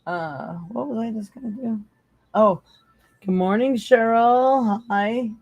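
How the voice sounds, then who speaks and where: A woman hosting a live psychic tarot stream, hoarse from losing her voice, chatting casually with viewers and laughing often. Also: on the high side, takes it slowly, a little mellow, dry recording, highs cut off.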